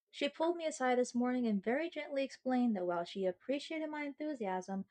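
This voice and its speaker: High-voiced, sheepish sweet girlfriend played by a woman. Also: a little mellow. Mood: sheepish explaining. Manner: chatty, halting, bashful with dramatic mock seriousness. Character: sweet sheepish girlfriend, earnest, playfully self-mocking. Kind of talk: ASMR girlfriend roleplay, acted monologue to the listener.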